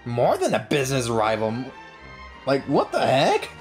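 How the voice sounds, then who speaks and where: A man giving casual, excitable game playthrough commentary, reacting and repeating himself as he goes. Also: medium pitch, normal speed. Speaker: gaming YouTuber. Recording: game music behind voice.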